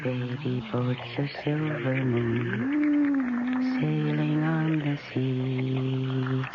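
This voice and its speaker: A woman's voice: low voice, sing-song, very slow, heavily acted. An actress playing an eccentric, doting wife who coos and fusses over her plants in an old radio drama. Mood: dreamy, crooning. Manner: cooing, coaxing, fussing. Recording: noisy, muffled old radio broadcast.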